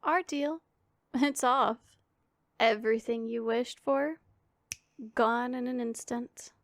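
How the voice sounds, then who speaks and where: A woman performs a powerful demon lady in an intimate ASMR roleplay. Her voice sits fairly high with gravelly fry, recorded very dry. She is imperious and teasing, choosing formal words deliberately, with care hidden under the menace. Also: steady tone, no room sound. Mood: mischievous, threatening. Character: powerful female demon, haughty, teasing, secretly caring.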